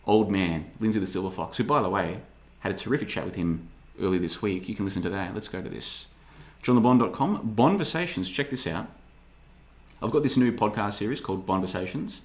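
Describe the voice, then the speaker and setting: A man, rambling through a casual podcast monologue with a sarcastic edge. His voice sits a little low, and he talks loosely, circling back over his points. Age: 30s. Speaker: podcast host. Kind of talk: casual podcast monologue reading live chat.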